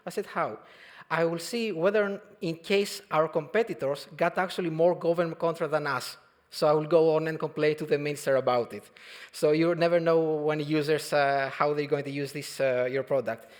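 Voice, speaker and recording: A man in his thirties, from Albania and raised in Greece, giving a relaxed technical talk at a conference, halting often with fillers and restarts. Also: a little high. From Albania, grew up in Greece. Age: 30s. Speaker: conference speaker. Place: conference hall.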